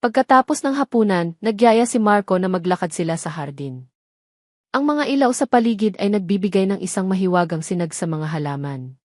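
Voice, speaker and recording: A woman's voice: mid-range voice, animated, brisk, darkish tone, dry recording, studio quality. A woman narrating a scripted dramatic story.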